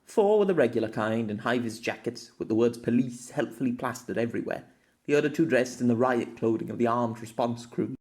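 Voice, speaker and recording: A man narrating an audiobook in a steady storytelling voice. His pitch sits at a middle level for a man. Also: a little fast. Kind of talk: scripted narration.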